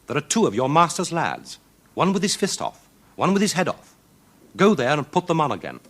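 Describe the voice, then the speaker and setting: A man's voice: on the high side, huge pitch swings, moderate pace, a bit bright, voice pushed up, exaggerated voice. A man voicing a bold, brash young hero of a storybook folk tale, declaiming his commands. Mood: urgent, commanding. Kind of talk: children's storybook reading.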